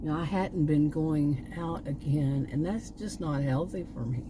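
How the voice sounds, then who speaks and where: A woman vlogger chatting casually, meandering and restarting her sentences, her voice going hoarse. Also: sits low, normal speed, background noise. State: losing her voice. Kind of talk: rambling casual chat. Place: outdoors.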